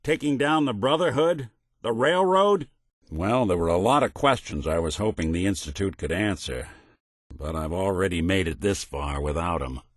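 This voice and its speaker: An actor playing a world-weary, loyal robot detective in a video game, with wry, folksy 1940s private-eye slang. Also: male voice, sits low, moderate pace, no room sound, clean studio sound. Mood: grave, reproachful. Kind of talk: video game companion voice lines.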